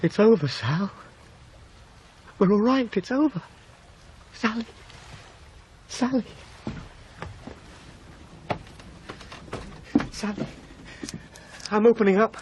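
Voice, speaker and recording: A man's voice: sits high, animated, slow pace, long pauses, a little mellow, a little hiss or hum. A man playing an anxious, devoted young husband in a radio drama, impassioned and protective, moving between tenderness and alarm. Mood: relief turning anguish. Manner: impassioned, swinging from tender to frantic.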